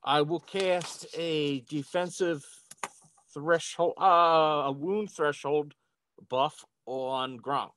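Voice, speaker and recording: Unhurried man playing a tabletop game. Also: on the high side, fairly even intonation, fairly bright tone, no room sound. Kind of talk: casual game chat.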